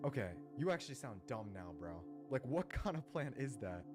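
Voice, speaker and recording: A man with a mid-pitched, very lively voice swings up and down as he argues in a game. His talk is slangy and incredulous, and loud music runs behind him. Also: moderate pace. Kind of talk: argumentative social-deduction game talk.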